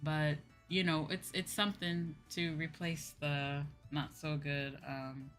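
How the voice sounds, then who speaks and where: A woman's voice: deep voice, level tone, slow pace, fairly bright tone. A woman hosting a livestream, chatting casually with viewers in a rambling way, thinking aloud and circling back over her points. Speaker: livestream host and virtual streamer. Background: background music.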